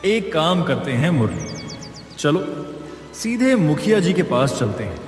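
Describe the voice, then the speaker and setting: A man's voice: on the high side, big pitch swings, a little slow. A man voicing a worried village man in a cartoon, urgent and earnest. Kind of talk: Hindi moral story cartoon dub. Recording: echoey reverb over faint music.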